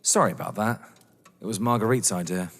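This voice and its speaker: A man's voice: on the low side, fairly quick, long reverb tail, natural voice. A man playing a proud, guarded young lover in a theatrical audio drama, answering with dry retorts. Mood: unapologetic.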